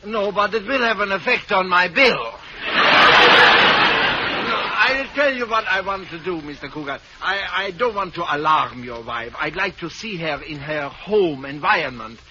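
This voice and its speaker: Comic psychiatrist, pompous, jokey, raised pitch, noisy old radio sound. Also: male voice, lively intonation, dull audio. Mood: mock-serious. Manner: jokey, pompous, drawn-out delivery. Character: zany male psychiatrist, pompous and silly. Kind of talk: 1940s radio comedy acting.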